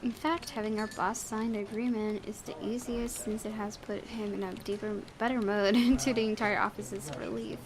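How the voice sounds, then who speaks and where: A woman's voice: mid-range voice, level tone, moderate pace, hardly any pauses, a crowd in the background. A woman hosting a gaming video, reading visual novel narration aloud and occasionally stumbling and correcting herself.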